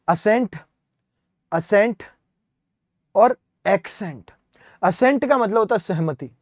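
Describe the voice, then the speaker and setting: A man's voice: sits high, darkish tone, dry recording, studio quality. Indian man teaching English vocabulary. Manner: explains step by step, spells words letter by letter, keeps asking "है ना?". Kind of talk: exam-prep vocabulary lesson.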